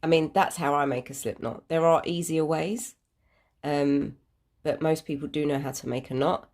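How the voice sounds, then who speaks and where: A British woman with a slightly low voice, thick with a head cold, hosts an informal craft tutorial. She talks in a hesitant, rambling and self-deprecating way, explaining each step as she goes. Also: steady tone, normal speed, darkish tone. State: congested with a cold. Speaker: crafting channel host.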